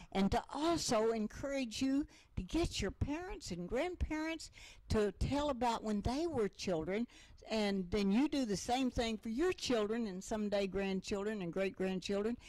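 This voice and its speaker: A woman's voice: medium pitch, a little mellow, no echo, rough recording. Chatty older woman host. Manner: rambling, chatty, many fillers. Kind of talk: talk show interview.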